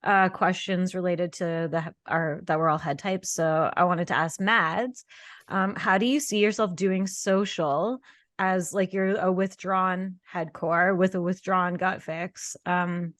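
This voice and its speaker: A woman's voice: fairly low pitch, no echo. Playful, dry-sounding woman podcast host. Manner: playful, teasing, laughs often. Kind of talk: casual podcast chat.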